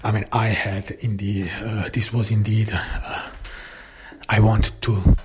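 A German-native man talks through his podcast in a very low, husky voice that barely moves in pitch. He stops and restarts often, filling gaps with hesitant sounds as he thinks aloud. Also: flat delivery, darkish tone. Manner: halting, many fillers and restarts. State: recovering voice after illness. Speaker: podcast host and author. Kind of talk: casual solo podcast talk.

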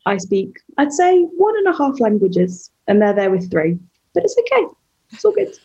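A woman's voice: medium pitch, big pitch swings, normal speed, mellow, dull audio. A young woman guest in a casual chat, enthusiastic and self-deprecating.

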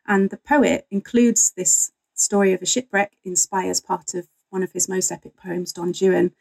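Woman with a creaky voice. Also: mid-range voice, level tone, normal speed, a little mellow, poor audio. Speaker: guest historian and author. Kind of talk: conversational storytelling interview.

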